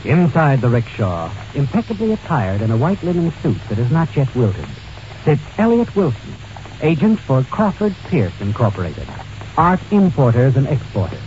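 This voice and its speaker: A man's voice: medium pitch, very animated, normal speed, a little mellow, slight background noise. A man narrating a suspense story in dramatic, ominous 1940s radio style. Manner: ominous, addresses the character as "you". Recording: muffled old radio recording. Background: dramatic music.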